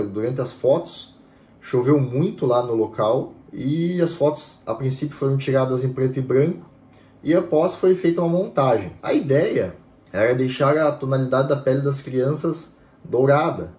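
Man explaining in an echoey room. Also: mid-range voice. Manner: steady, explanatory, frequent "né" fillers. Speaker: music history channel host. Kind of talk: explanatory talk to camera. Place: home room with bookshelves.

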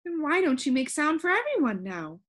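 Woman as a young boy, high voice, eager, curious, earnest, persistent, storybook character. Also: sing-song, moderate pace, fairly bright tone. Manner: earnest, questioning.

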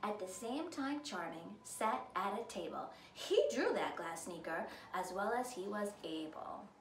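A woman's voice: medium pitch, lively intonation, unhurried. A woman in her thirties reading a children's story aloud in a theatrical, emphatic way. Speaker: storyteller, show host. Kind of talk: dramatic children's read-aloud. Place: living room by a fireplace, indoors. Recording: roomy, distant mic, faint noise.

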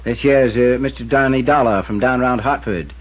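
An actor playing a stubborn, laconic small-town constable with a rural American accent and folksy, matter-of-fact manner in a 1950s radio drama. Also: male voice, medium pitch, moderate pace, lightly acted. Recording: muffled 1950s radio with faint noise.

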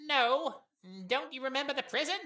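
A woman playing a boisterous, naive, self-assured skeleton in a fan audio drama, with loud theatrical declarations. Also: high-pitched, fairly even intonation, moderate pace. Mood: insistent, cheerful.

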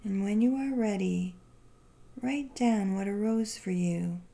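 A woman leads a guided meditation in a soft, mellow voice of medium pitch. She speaks very slowly and soothingly, leaving generous pauses between gentle phrases. The delivery stays relaxed and unhurried throughout. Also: gravelly.

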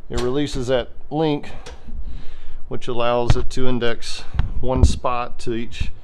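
A man's voice: mid-range voice, level tone, slow. A plain-spoken man giving an informal, step-by-step hands-on explanation as he films on a handheld phone in a garage workshop. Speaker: host demonstrating his pinsetter machine. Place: indoor garage workshop full of machinery. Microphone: handheld phone camera. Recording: noisy, clattering machinery in garage. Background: machinery noise.

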